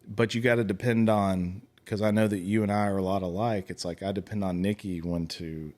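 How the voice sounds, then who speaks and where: A man's voice: fairly low pitch, fairly even intonation, fairly quick, a little mellow. A man in his forties hosting a podcast as a casual, blunt, joking chat. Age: 40s. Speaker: podcast host.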